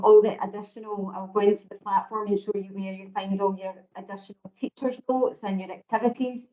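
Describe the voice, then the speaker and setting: A Scottish woman with a booming voice presenting teaching resources in an online meeting, explaining steadily. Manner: steady, explanatory, calls her own voice booming. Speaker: education presenter. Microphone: video call. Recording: dull lo-fi video call audio.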